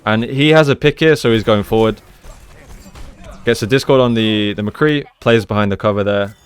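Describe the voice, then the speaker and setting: Smooth, low-voiced man analysing gameplay. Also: normal speed, soft background music. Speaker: esports coach and commentator. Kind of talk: casual gameplay analysis commentary.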